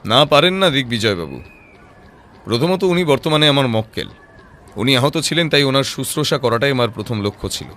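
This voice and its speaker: A man playing a composed, sharp-witted private detective in an audio drama, reasoning in a measured voice with rhetorical questions. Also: medium pitch, lively intonation, slight background noise. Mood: firm, challenging. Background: background music.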